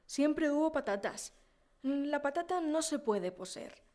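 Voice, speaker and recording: A woman's voice: high voice, expressive, measured pace, a little mellow. A woman playing a pious, plain-spoken village mother in a radio drama, matter-of-fact and sure of herself. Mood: assured.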